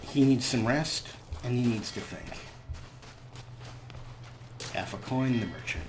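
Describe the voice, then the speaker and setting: A man hosting a let's play, narrating the game as a story in deliberate, broken phrases. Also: mid-range voice, animated. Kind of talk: in-character story narration of gameplay. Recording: loud game ambience noise.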